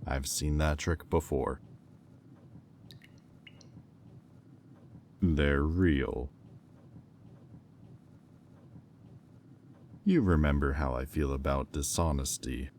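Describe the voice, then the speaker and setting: A man playing a haughty, sardonic demon bidder in an audio roleplay, speaking with condescension and deliberate pauses. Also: rumbling low, steady tone, darkish tone, slight background noise. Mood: skeptical, menacing.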